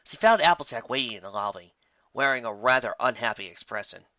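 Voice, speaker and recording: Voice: medium pitch, expressive, brisk, bright, forceful tone, dry recording. A narrator reading scripted story prose aloud with a dramatic storytelling manner. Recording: slightly muffled close dry recording.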